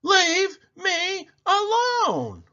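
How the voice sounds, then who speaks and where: Man playing exasperated old grandma, storybook character, very high loud shriek, slow drawn-out yell. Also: huge pitch swings, muffled recording, exaggerated voice. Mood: exasperated shouting. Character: fed-up old grandmother shouting to be left alone.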